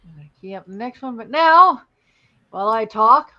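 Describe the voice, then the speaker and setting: A woman's voice: on the high side, animated, normal speed, lo-fi sound. A woman hosting a live show in a casual, informal, rambling style. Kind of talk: casual livestream hosting and plugging.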